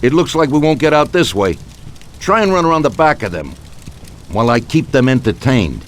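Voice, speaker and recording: An actor playing a genteel older mafia boss, courteous and quietly authoritative, in a video game. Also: male voice, medium pitch, sing-song, moderate pace, a little hiss or hum, natural voice. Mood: annoyed, urgent. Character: genteel, commanding older male mafia Don. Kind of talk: video game cutscene acting.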